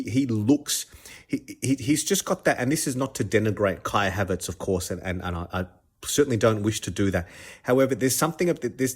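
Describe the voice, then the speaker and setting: A man talks through his solo podcast, his voice a little congested from a cold. He sounds keen and chatty, tripping over restarts and repeating words as he goes. His voice sits on the lower side. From Australia. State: stuffed up with a cold. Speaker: podcast host. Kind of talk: casual solo podcast commentary.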